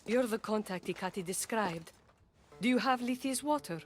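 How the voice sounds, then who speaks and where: Casting: actress as heartbroken young woman in a game, insistent, mournful, highish voice, music under. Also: measured pace, natural voice. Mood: mournful, resolved. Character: grieving, lovesick young woman resolved to forget. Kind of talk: scripted video game dialogue. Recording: music behind the voice.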